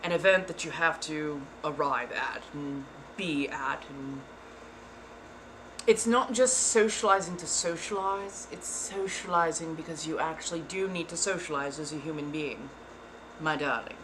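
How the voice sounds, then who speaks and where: A woman reading tarot cards live for her viewers, keeping up a theatrical put-on persona accent the whole time. She sits a little low in pitch and calls everyone darling. A faint hiss sits under her voice. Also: unhurried. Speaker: tarot reader and livestream host.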